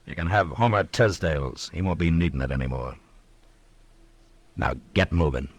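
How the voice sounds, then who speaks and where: An American actor playing a tough, steady frontier marshal in a radio western, speaking in a dry, commanding way. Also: male voice, extremely low pitch, normal speed, noisy background. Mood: stern, sardonic. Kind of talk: old-time radio western acting.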